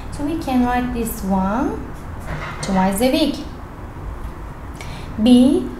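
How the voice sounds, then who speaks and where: Woman, high lively voice, English teacher, faint hum behind. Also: reverberant, low-quality recording. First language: Turkish. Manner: reads questions aloud then explains answers step by step. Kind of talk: worksheet walkthrough lesson. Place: indoors at a desk over a paper worksheet.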